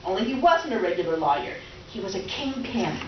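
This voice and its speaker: Echoey distant recording, actress as scatterbrained middle-aged woman, high swooping voice, self-absorbed rambling, stage comedy. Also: sing-song, a little fast, lightly acted. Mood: breezy. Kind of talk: comic stage reading. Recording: distant echoey room, muffled, faint noise.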